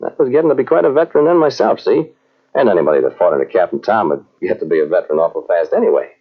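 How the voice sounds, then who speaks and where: An American man playing a deferential, earnest cavalry sergeant in an old radio drama, talking in a halting, folksy way. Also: deep voice, sing-song, exaggerated voice. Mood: nostalgic, reminiscing. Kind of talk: old-time radio drama acting and narration. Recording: muffled old radio drama from cassette tape.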